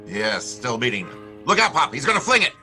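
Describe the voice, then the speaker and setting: An actor playing a tough, wry private detective with clipped, wisecracking delivery, in 1940s radio noir style. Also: male voice, mid-range voice, huge pitch swings, fairly quick, music in the background. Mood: urgent.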